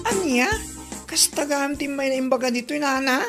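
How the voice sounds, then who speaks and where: Creaky, brash, indignant female friend. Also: sits high, normal speed, fairly bright tone, faint music behind. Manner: exclaiming, reproachful. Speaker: radio drama actress. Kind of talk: Ilocano comedy radio drama acting.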